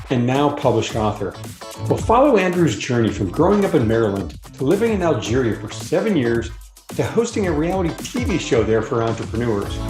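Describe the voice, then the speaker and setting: A man hosting a podcast, reading an upbeat scripted introduction and then chatting with his guest. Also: medium pitch. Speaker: podcast host.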